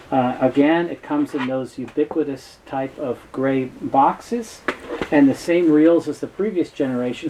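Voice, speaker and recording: A man with a mellow, relaxed voice at a middling pitch gives an informal explainer as a product presenter. His delivery is halting and explanatory, picking through the details as he goes. Some noise sits behind his voice. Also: low-quality recording. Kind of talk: informal explainer talk about audio gear. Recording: clear background noise.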